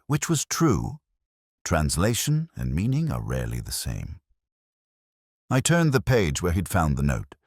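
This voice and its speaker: A man playing a very deep-voiced, brooding detective. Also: dry recording, studio quality, exaggerated voice. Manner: measured, reflective, literary phrasing. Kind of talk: scripted first-person detective narration, audio drama.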